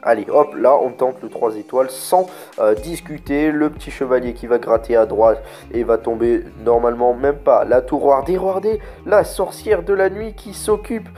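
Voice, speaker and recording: A man with a cold giving live commentary on his own gameplay. He is excited and keeps pointing things out to his viewers. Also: mid-range voice, moderate pace, soft background music. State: has a cold, stuffy. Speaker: gaming video creator.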